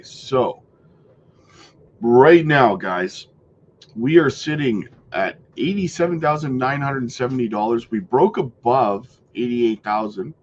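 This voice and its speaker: A man's voice: medium pitch, lo-fi sound, slight background noise. A man hosting a casual crypto market update, sick and stuffy with a worn-out voice, talking chattily and checking in with his viewers. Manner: chatty, keeps checking in with "right?" and "guys". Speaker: crypto channel host.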